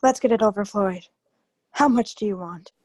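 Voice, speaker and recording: A woman's voice: mid-range voice, normal speed, darkish tone, close to an everyday voice. A woman playing a cornered, desperate wife with a secret past in a radio crime drama, pleading and defiant. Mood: tense, guarded. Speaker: actor. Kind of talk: old-time radio crime drama acting.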